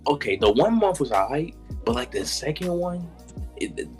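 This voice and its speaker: A man's voice: a little high, sing-song, normal speed, soft background music. Eighteen-year-old guy, slangy and blunt. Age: teens. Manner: slangy, blunt, laid-back. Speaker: podcast co-host. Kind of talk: casual chat.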